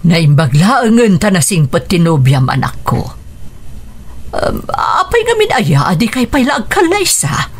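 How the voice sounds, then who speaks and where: Older widowed mother, radio drama, raspy-soft low-clarity voice, tender, wistful, motherly. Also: sing-song, unhurried. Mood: concerned, questioning. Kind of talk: Ilocano radio drama acting.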